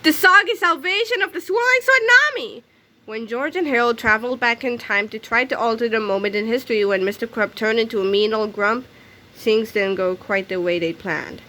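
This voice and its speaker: Bright, high-pitched woman reading aloud. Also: moderate pace, poor audio. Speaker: story reader. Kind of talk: animated read-aloud of a children's comic book.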